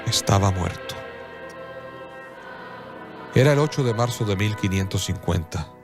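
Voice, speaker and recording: A man narrating a scripted radio drama in a solemn, measured storytelling manner. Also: on the low side, animated. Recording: clear music bed behind.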